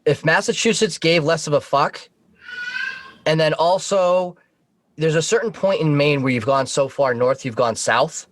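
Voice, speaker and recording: A man's voice: fairly high pitch, a little slow, slight background noise. An American man telling anecdotes casually as a podcast guest, swearing and joking along the way. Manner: profane, joking. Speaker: podcast guest, Coast Guard veteran.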